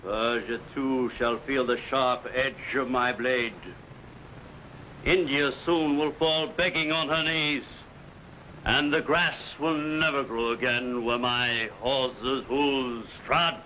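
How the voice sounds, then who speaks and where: An actor playing a boastful, ruthless barbarian warlord, proclaiming conquests in grandiose speeches. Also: male voice, medium pitch, steady tone, slow pace, bright, forceful tone, a little hiss or hum, rough recording. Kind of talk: 1950s radio drama acting.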